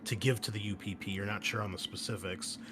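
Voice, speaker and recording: A man's voice: on the low side, fairly even intonation, fast talker, noisy background. A young man running a tabletop roleplaying game, recapping from his notes in an easygoing way with many fillers. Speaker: game master.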